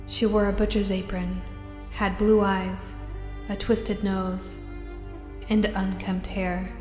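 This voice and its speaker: Actress doing distressed young woman stuck in a bad dream, halting and anguished, big echoey reverb, music under it, audio drama. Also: mid-range voice, flat delivery, darkish tone, close to an everyday voice. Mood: haunted, halting. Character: distressed young woman trapped in a sailor's nightmare.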